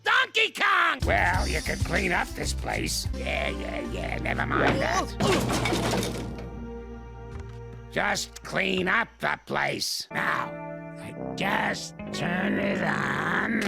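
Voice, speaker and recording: A man's voice: sits high, exaggerated voice. An actor playing a grumpy, bossy old male ape in a TV cartoon, barking insults and grumbling at everyone. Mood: grouchy. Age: older. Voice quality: gravelly old-man voice. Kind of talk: TV cartoon voice acting. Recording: cartoon soundtrack with music. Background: music score.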